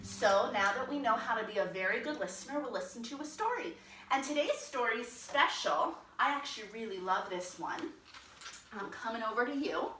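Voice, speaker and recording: A woman with a bright, fairly high voice hosts a storytime for young children. She reads in an expressive, sing-song way and speaks straight to her little listeners in an encouraging manner. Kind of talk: read-aloud storytime with questions to young children.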